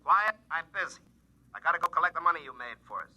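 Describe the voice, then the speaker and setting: Bossy smug american future self on the phone, brusque, very tinny and sharp, highish, old radio show. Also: male voice, animated, quick pace, exaggerated voice. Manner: brusque, commanding. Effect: telephone voice. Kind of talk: 1950s radio acting. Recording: thin sharp vintage radio phone line.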